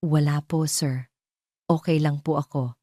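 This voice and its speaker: Heroine: female narrator as anxious, deferential young woman, hesitant, low voice. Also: steady tone, measured pace, dark tone, dry recording, clean studio sound. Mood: subdued, evasive.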